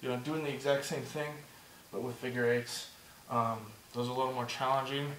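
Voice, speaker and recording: A man teaching a movement drill step by step, encouraging and repeating himself, slightly hoarse and short of breath. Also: mid-range voice, level tone, brisk, a bit bright, roomy sound, distant mic, a little hiss or hum, rough recording. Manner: encouraging, repeats "just like that" and "good". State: losing his voice, a bit out of breath. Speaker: instructor. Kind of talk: step-by-step demonstration lesson.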